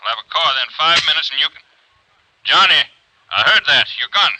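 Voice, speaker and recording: A man playing a gruff, fatherly police inspector in a 1950s radio drama. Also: a little high, expressive, fast talker, sharp tone, low-quality recording. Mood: alarmed, commanding.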